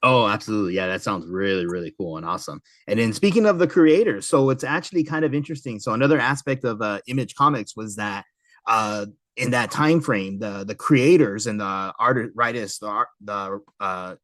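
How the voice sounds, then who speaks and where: A man's voice: fairly low pitch, fairly quick, fairly bright tone, dry recording. Casual man hosting, close dry sound. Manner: agreeable, informal. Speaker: podcast host. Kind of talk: casual chat.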